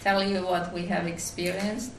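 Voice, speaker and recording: A woman with a Finnish accent gives a devotional talk at a retreat, pausing often with fillers and restarts. Her voice sits low and has a gravelly edge. She is recorded from across a reverberant room, with faint background noise. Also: flat delivery, far from the mic. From Finland. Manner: halting, many fillers and restarts. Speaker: retreat speaker.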